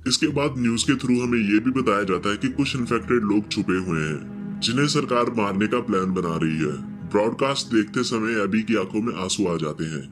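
A man with a fairly low voice tells a film's story as a scripted recap. His delivery is steady and continuous, in the manner of a storyteller. Music plays clearly behind his voice. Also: fairly quick. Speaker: film recap narrator. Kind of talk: scripted plot narration, storytelling.

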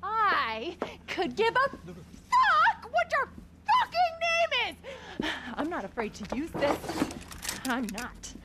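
An actress playing a haughty, indignant career woman in a video game, speaking in a shrill, emphatic, contemptuous manner. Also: huge pitch swings, slow pace, very noisy, exaggerated voice. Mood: bitter contempt. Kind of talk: video game cutscene acting.

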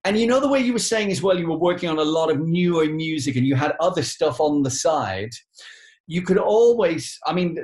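British male radio interviewer, fifties, fairly high register, chatty delivery, markedly echoey living-room call. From United Kingdom. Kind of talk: conversational interviewing. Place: living room on a sofa, indoors.

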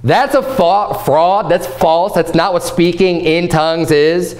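Man in his thirties, pastor, lively conversational sermon, fairly high voice, church platform. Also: a little slow. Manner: animated, gesturing, conversational preaching. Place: church platform before a painted backdrop.

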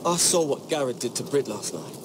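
A man's voice: mid-range voice, big pitch swings, moderate pace, background noise, natural voice. An actor playing an earnest, defensive young male watchman in a dramatic video game, speaking insistently. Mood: earnest testimony.

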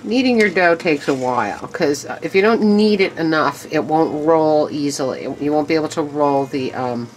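A woman's voice: deep voice, normal speed, hardly any pauses, a little hiss or hum, poor audio. A woman hosting a casual home cooking demonstration in her kitchen, chatty and enthusiastic, talking to her viewers as she works at the stove. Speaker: home cooking show host.

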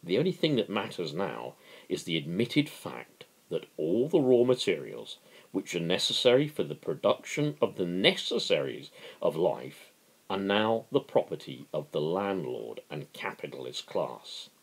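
A man voicing an earnest workman who lectures his workmates in a deliberate, declamatory way, in a dramatic book reading. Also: low voice, creaky, breathy edge, heavily acted, rough recording.

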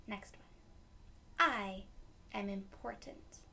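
A young woman reading a picture book aloud to children, gently explaining it in simple words. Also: medium pitch, takes it slowly, creaky, slight background noise. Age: young adult. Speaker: read-aloud storyteller for children. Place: small room at home, indoors.

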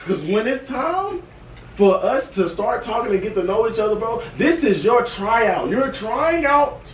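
A man's voice: very high-pitched, big pitch swings, measured pace. A man of twenty in a casual debate with friends, emphatic, slangy and often repeating himself, recorded on an outdoor patio by a far camera. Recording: roomy, distant, faint background noise.

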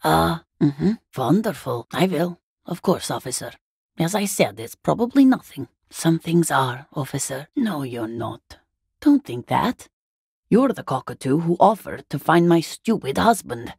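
A tired, worried middle-aged woman, a working-class mother in a dramatic video game. Her voice is low and gravelly, and she speaks slowly and plainly, with halting, subdued delivery. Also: sing-song, a little mellow, no room sound, studio quality, heavily acted. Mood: dry, weary. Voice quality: husky, gravelly.

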